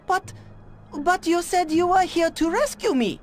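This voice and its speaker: An actress playing a proud, clever Japanese scientist in a video game, with a Japanese accent and stilted, formal phrasing. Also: female voice, very high-pitched, measured pace, faint music behind. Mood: sly, insistent. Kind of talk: video game cutscene voice acting.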